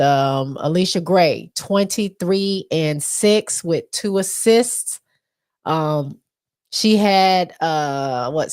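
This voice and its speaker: A woman with an American accent, stuffy from allergies, casually recapping basketball stats with lots of ums. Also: sits low. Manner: reads stats with many fillers. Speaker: podcast co-host.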